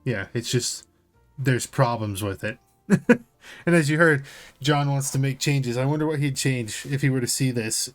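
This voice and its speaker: A man's voice: medium pitch, normal speed, faint music behind. A man hosting a podcast, talking casually about tabletop games. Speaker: podcast host.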